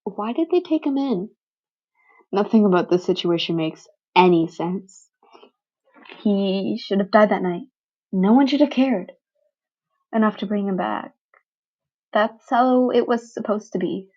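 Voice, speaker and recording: A woman narrator with a mid-pitched voice reads a dramatic story. Her delivery is very slow, brooding and halting, and the home recording sounds somewhat dull. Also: expressive. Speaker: fan fiction narrator.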